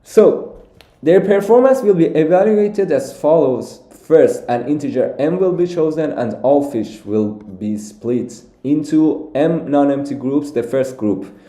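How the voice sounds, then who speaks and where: A man's voice: on the high side, lively intonation, measured pace, dark tone, echoey room. A young man originally from Iran, walking through contest solutions on a live stream and thinking aloud with many fillers and restarts. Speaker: competitive programming streamer.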